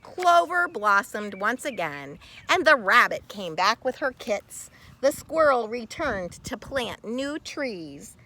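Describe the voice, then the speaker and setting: A woman reading a children's story aloud expressively, with theatrical, deliberate phrasing and dramatic pauses. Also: on the high side, big pitch swings, sharp tone. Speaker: storybook reader.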